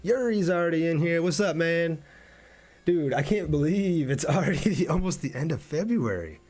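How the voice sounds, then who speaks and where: A man's voice: on the high side, expressive, normal speed, darkish tone. A man streaming a game, chatting casually and in a laid-back way with his viewers as he plays. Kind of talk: casual livestream chat over gameplay.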